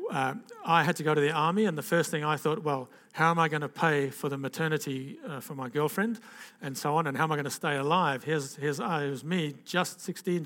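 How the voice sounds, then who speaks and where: A man's voice: fairly high pitch, fairly bright tone, big-room sound. An older man gives an informal personal testimony to a gathering. He talks in a rambling, conversational way, with restarts and frequent "okay?" asides. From Zambia and Zimbabwe. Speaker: speaker giving a personal testimony.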